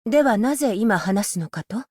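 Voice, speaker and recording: A voice actress playing a stern, dutiful female magistrate-scholar, speaking in a formal, measured and politely stern way for a game's voice lines. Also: mid-range voice, animated, darkish tone, dry recording, close to an everyday voice. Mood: wry. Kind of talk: game character voice lines. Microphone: close studio mic, dry.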